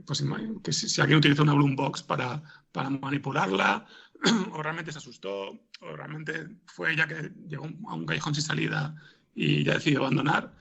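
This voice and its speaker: A man with a raspy, gravelly voice, answering interview questions in a hesitant way, as if thinking aloud. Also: a little high, a little fast, fairly bright tone, muffled recording. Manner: hesitant, thinking aloud, frequent throat clearing. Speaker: interviewed podcast scriptwriter.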